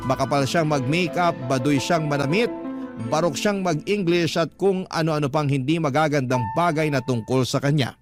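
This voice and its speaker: A man narrating a first-person story in the style of a radio storyteller. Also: fairly high pitch. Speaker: narrator reading a letter sender's story.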